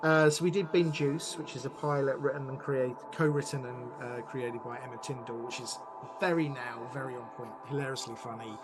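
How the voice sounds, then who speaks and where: Music plays clearly behind a middle-aged man with an average-pitched voice. He speaks conversationally, as a guest in a podcast interview. His manner is playful and enthusiastic. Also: fairly even intonation, fairly quick, hardly any pauses. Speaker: guest, audio drama producer and director.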